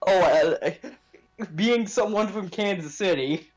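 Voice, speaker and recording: A man's voice: high voice, takes it slowly. A man chatting casually and emphatically about sports as a podcast host, in informal language.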